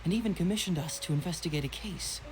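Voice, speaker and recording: Guy playing a young game detective, breezy n shrewd, light teasing delivery, clear music under him. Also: on the high side, quick pace, natural voice, rough recording. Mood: sly, probing. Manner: casual, smooth, teasing.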